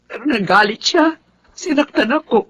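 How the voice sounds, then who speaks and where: A woman's voice: fairly high pitch, lively intonation, normal speed, fairly bright tone, slightly rough. A woman playing a long-suffering, battered wife and mother, plaintive and pained, in a melodrama. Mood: weary resigned. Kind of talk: melodramatic drama acting.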